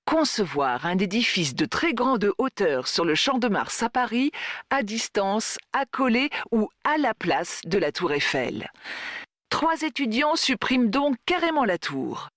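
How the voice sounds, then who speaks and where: Female report narrator, animated melodic delivery, mid-range voice, scripted, dry studio-like sound. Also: a little high, measured pace, dry recording. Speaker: radio-style report presenter.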